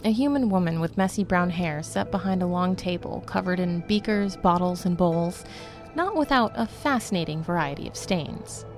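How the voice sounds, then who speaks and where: Low-voiced woman narrating fantasy. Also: animated, moderate pace, soft background music.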